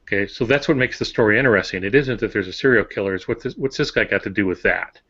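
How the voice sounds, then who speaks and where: Wry low-voiced man, dull audio. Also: fast, sharp tone, slightly rough. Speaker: novelist and podcast co-host giving writing advice. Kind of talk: casual instructive podcast talk. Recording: dull, band-limited audio.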